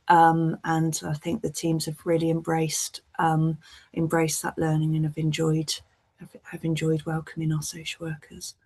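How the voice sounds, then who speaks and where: A woman speaking as a principal social worker on a webinar panel, earnest and measured as she explains, over a video call. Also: lowish voice.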